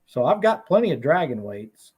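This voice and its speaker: A man's voice: on the high side, very animated, normal speed, husky, highs cut off. A man talking as a guest on a casual fishing podcast, easygoing, laughing now and then and restarting his sentences. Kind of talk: casual chat.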